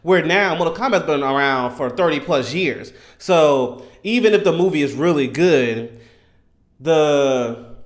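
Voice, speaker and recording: A man in his thirties giving casual, enthusiastic fan commentary in an animated, emphatic, slangy way. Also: fairly high pitch. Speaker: gaming content creator.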